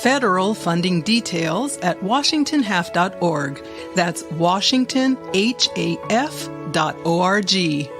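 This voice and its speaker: A woman's voice: on the low side, lively intonation, takes it slowly, over music. Woman reading an earnest radio ad. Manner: earnest testimonial delivery. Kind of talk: read commercial.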